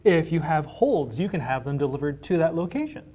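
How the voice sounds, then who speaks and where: A man's voice: on the high side, animated, fairly quick, dull audio. A man being interviewed casually as a library director, explaining things through everyday hypothetical examples.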